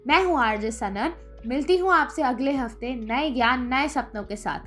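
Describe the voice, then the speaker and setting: A woman's voice: high-pitched, normal speed, a bit bright, soft background music. A woman radio host presenting a scripted career guide, speaking directly to listeners as friends in a motivational way.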